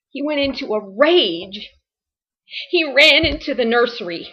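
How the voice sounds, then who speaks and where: Slow, halting, distraught wife played by a woman. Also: mid-range voice, highs cut off. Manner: halting, deliberate, emotionally strained. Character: distraught abused wife and grieving mother confessing to a detective. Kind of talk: dramatic confession monologue.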